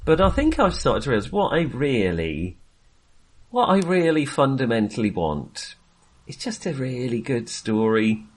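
A man co-hosting a film podcast, talking casually in a halting, rambling, self-deprecating way. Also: medium pitch, very animated.

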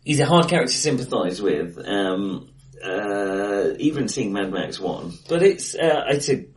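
A man co-hosting a casual film discussion, rambling with many ums and quick laughs, recorded in a bright, echoey room. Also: fairly low pitch, animated. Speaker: podcast co-host. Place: acoustically bright room, face to face. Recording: bright room, voices a bit off-mic.

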